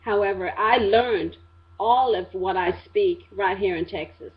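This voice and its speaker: A woman from Saint Lucia hosts a radio interview and keeps it moving with encouraging remarks. Her pitch is in the middle range. The sound is dull and lo-fi, as on a broadcast. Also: unhurried. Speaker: radio show host.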